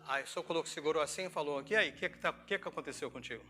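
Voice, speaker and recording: A man's voice: on the high side, fast, sharp tone, faint music behind. A middle-aged Brazilian man preaching informally as a pastor, telling stories conversationally with rhetorical questions. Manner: conversational storytelling, acting out dialogue, asking rhetorical questions. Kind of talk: informal sermon with personal anecdotes.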